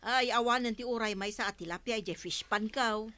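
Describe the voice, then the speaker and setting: A woman's voice: on the high side, normal speed, pushed and bright, dry recording. A woman playing a feisty, indignant village fishpond owner in an audio drama, with an Ilocano accent. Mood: complaining.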